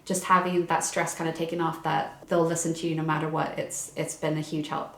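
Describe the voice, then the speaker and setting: Chatty young woman, low flat voice, echoey room. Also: brisk, music in the background. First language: English. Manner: chatty, lots of fillers and restarts. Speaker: vlogger. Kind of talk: casual chat.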